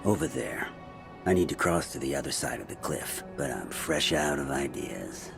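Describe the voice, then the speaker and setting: Very deep, gravelly sardonic rogue. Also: male voice, animated, moderate pace, full character voice. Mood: sly, musing. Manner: sardonic, folksy slang. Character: roguish, wry middle-aged male drifter and schemer. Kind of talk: video game cutscene acting. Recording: background music under voice.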